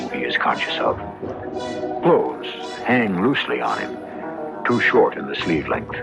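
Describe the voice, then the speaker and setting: Ominous radio horror host: very low, unhurried, dramatic and deliberate. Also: male voice, full character voice, poor audio. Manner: dramatic, deliberate rhetorical questioning. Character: ominous, sardonic male storyteller hosting horror tales. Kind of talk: 1970s radio drama narration.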